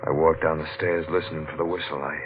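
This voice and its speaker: Low, gruff hard-boiled American radio tough guy. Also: level tone, quick pace. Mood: hushed, tense narration. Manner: clipped, slangy hard-boiled talk. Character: gruff, edgy tough-guy husband hunting a killer. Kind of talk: 1940s radio drama acting. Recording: old radio recording, narrow-band, noisy.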